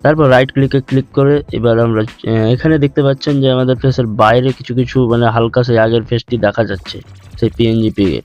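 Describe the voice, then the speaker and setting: A man narrating a step-by-step software tutorial in an instructional way, repeating steps as he goes. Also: medium pitch, steady tone, quick pace. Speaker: tutorial host. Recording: dull lo-fi voice track with music. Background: background music.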